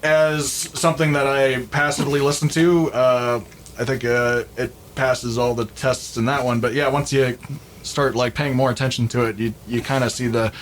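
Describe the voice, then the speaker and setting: A man talking casually and a little haltingly as he co-hosts a discussion of an album. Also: medium pitch, little pitch movement. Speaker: podcast co-host. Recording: clear background noise.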